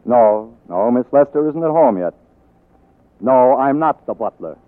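An American actor playing a charming, faltering film star husband in a 1940s radio drama, fond but wounded, with hesitant, halting speech. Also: male voice, mid-range voice, expressive, natural voice. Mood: polite, deflated. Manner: hesitant, halting, stammered repeats. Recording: muffled 1940s radio broadcast recording.

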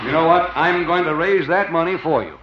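An actor playing a flattering, folksy con man of a salesman in a 1950s radio comedy, ingratiating in manner. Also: on the high side, fairly quick, very noisy, natural voice. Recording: dull lo-fi old radio recording.

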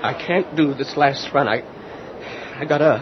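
An actor playing a haunted, frightened bus driver in an old radio horror drama, halting and agitated. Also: male voice, on the high side, close to an everyday voice. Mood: nervous, pleading. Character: frightened, haunted male bus driver near breaking point. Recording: dull, noisy old radio recording.

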